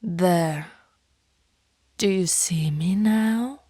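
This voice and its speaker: Slow, whispering lovestruck vampire woman, acted out by a woman. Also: fairly low pitch, dry recording, full character voice. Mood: hushed, intrigued. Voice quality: soft, breathy whisper. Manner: breathy, slow, theatrical, formal phrasing with lingering pauses. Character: lovestruck female vampire, predatory yet apologetic, formal and devoted. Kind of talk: whispered ASMR roleplay. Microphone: close, dry microphone.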